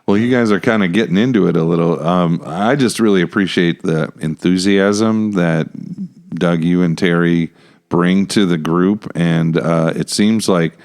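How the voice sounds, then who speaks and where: A man's voice: low voice, measured pace, a little mellow. A man with an American accent hosting a casual podcast conversation in an informal, conversational way. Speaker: podcast host, pastor.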